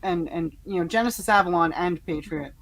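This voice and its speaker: A woman's voice: fairly low pitch, a little fast. A woman chatting casually as one of a podcast panel. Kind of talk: casual chat.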